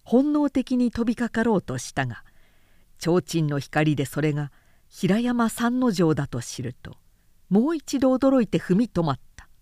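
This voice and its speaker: A woman narrator giving a measured, clearly articulated reading of literary fiction in audiobook style. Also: medium pitch, sing-song, mellow, dry recording, studio quality.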